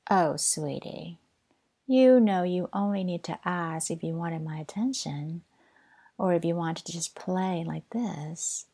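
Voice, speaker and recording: A woman performing an intimate audio roleplay, speaking softly and tenderly, often in a whisper. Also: lowish voice, slow pace, slightly rough. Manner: soft, soothing, often whispered; tender, doting.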